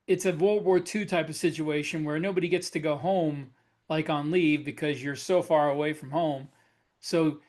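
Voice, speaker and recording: A man co-hosting a podcast, an author who presses his points earnestly in casual chat. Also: a little high.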